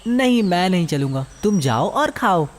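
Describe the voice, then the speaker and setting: An actor voicing a trusting, generous young male monkey in a children's cartoon, friendly and animated. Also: mid-range voice, moderate pace, close to an everyday voice. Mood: defensive. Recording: music behind the voice.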